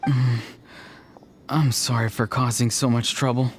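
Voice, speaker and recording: An actor playing an earnest, self-doubting young warrior in a video game, emotional and halting. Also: male voice, medium pitch, level tone, a little hiss or hum, lightly acted. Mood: weary, remorseful. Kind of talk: dramatic video game voice acting.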